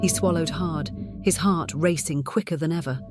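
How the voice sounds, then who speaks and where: A woman narrates fiction in a dramatic audiobook style. Her voice sits somewhat low. Music plays clearly beneath the narration. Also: normal speed.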